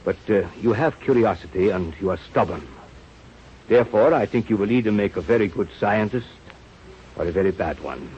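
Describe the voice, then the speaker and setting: A halting old scientist. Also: male voice, lowish voice, animated, darkish tone, husky. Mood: dry, sardonic. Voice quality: halting, broken English with thoughtful hesitations. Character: kindly, gruff, sardonic old European scientist mentor. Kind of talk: 1930s radio drama acting. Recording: dull, noisy old radio recording.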